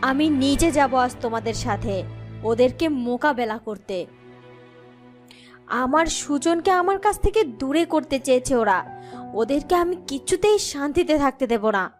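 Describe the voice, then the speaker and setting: A woman plays a possessive serpent queen in human form from a cartoon fairy tale. Her voice is very high and swings widely, imperious and vengeful, over clear background music. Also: lively intonation, a little slow.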